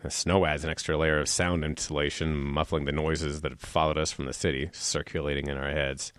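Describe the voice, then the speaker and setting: An actor playing a brooding, self-assured man in a radio drama, with a measured, poetic delivery. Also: extremely low pitch, level tone, a bit bright, clean tone, no echo, clean studio sound. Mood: brooding, hushed. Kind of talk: radio drama acting with narrated inner monologue.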